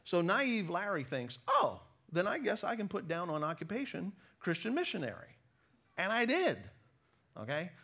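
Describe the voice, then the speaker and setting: A middle-aged American man giving a sermon with personal stories, conversational but emphatic, pausing deliberately between phrases. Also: high-pitched, huge pitch swings, creaky, bright, forceful tone. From United States. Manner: conversational, emphatic with deliberate pauses, self-deprecating. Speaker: preacher, former missionary.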